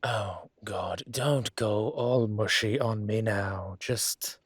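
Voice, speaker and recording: A man playing a suave, commanding dragon mafia boss in an intimate roleplay audio, teasing and deliberate, with a husky, breathy voice. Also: fairly low pitch, no room sound, studio quality. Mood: firm, exasperated.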